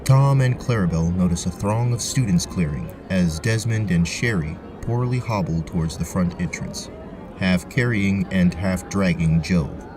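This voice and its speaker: A man's voice: sits low, moderate pace, over music. A man narrating a scripted tabletop fantasy story as its storyteller. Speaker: narrator, game master.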